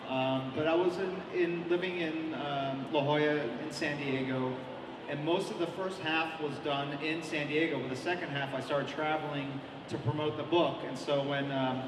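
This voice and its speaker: A middle-aged comic artist answering interview questions at a convention, with many ums and restarts. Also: male voice, on the high side. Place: large convention hall. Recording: echoey hall, mic at a distance, crowd noise.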